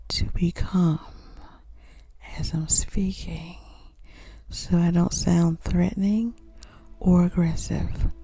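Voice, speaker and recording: A woman speaks in a slow, breathy whisper, her voice mellow and a little low. She teaches gently and unhurriedly, repeating key phrases. Faint music plays underneath. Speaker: ASMR channel host. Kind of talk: soft-spoken ASMR teaching.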